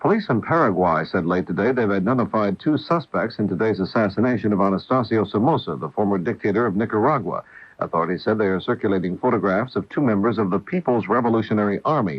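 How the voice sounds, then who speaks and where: Male news anchor, factual bulletin delivery, low register, vintage lo-fi AM radio sound. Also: breathy edge. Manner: formal, factual. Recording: dull roomy 1980 AM radio.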